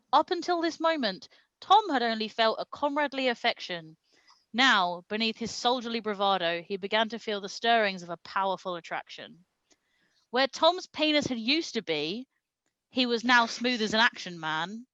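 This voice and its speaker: Woman, high-pitched, bright forceful tone, mock-serious comic reading, giggle-prone, very dry recording. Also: no room sound, dull audio. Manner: mock-serious, often breaking into laughter. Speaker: reader at a comedy show. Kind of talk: comedic reading of fan fiction aloud.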